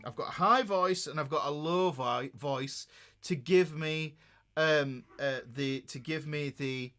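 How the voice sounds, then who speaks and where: A man's voice: a little high, takes it slowly, bright, forceful tone. A man giving an informal guitar lesson, talking conversationally and often checking in with the listener as he demonstrates. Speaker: guitar teacher. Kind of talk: informal instructional talk with demonstrations.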